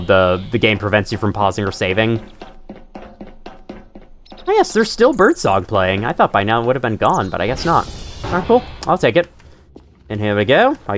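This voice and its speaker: A young man with a stuffy nose, rambling and swearing casually through relaxed gameplay commentary. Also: lowish voice. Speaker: gaming channel host. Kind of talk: casual let's-play commentary.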